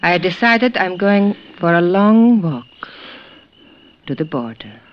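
Desperate female fugitive, coaxing and fatalistic, very expressive pitch, old muffled radio-play recording. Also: slow pace. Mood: regretful. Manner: coaxing, hesitant, dramatic delivery. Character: desperate, pleading female prisoner, guilty killer, coaxing and fatalistic. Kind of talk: 1950s radio drama acting.

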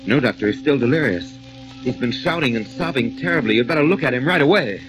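A man's voice: mid-range voice, lively intonation, fast, music in the background, lightly acted. A man playing a plain-spoken, concerned helper who reports to a doctor in an old radio drama. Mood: worried. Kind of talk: 1940s radio drama acting. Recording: slightly noisy vintage radio recording.

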